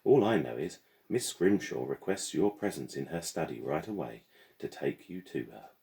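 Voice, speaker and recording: A man's voice: very deep voice, big pitch swings, moderate pace, vocal fry, warm tone, heavily acted. A man voicing a prim, smirking schoolgirl who teases with mock innocence in a storybook reading. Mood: smirking, airy.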